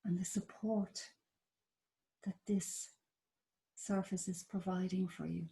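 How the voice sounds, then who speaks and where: A woman with an Irish accent leading a guided body scan meditation in a slow, soft, soothing manner. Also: fairly low pitch, fairly even intonation, gravelly. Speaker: meditation teacher.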